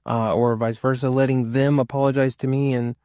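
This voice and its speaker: Guy around 30 talking to his viewers, casual n rambly, repeats stuff, average pitch, pretty dry sound. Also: level tone, fairly quick, a little mellow, no room sound. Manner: rambling, repeats himself for emphasis. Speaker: vlogger. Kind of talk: casual personal chat to viewers.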